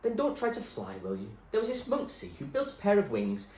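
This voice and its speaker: A man's voice: high-pitched, huge pitch swings, brisk, a little mellow, voice pushed up, exaggerated voice. A man reading aloud who voices an odd old man who rambles and speaks in riddles, in an echoey room. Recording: echoey room, faint noise.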